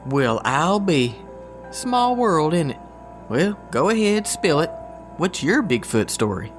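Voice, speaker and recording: An actor with a Southern US accent playing a goofy, good-natured country man in an audio drama, talking in a folksy, drawn-out way. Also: a little high, soft background music. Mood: surprised. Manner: folksy, drawn-out, excitable storytelling. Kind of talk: scripted audio drama dialogue.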